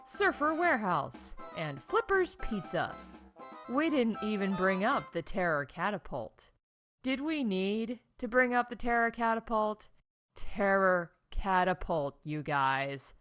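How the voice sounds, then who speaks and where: A woman playing a mock-salesy sponsor announcer in a parody commercial, with drawn-out emphasis and deadpan punchlines. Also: medium pitch, soft background music. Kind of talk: parody commercial read, scripted.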